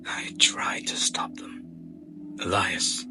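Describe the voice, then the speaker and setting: An actor playing a weary, grief-stricken hunter in a gothic audio drama, speaking in a halting, heavy way. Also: male voice, in a whisper. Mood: anguished regret. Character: weary, grief-stricken male hunter, reluctant but resolute. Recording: music behind the voice.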